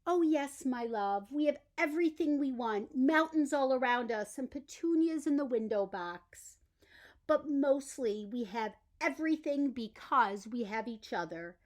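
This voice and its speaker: A woman's voice: sits high, animated, measured pace. A woman voicing an eager fisherman's wife who always wants more, emphatic and demanding, in a bedtime story. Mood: loving. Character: eager, ever-wanting fisherman's wife in a fairy tale.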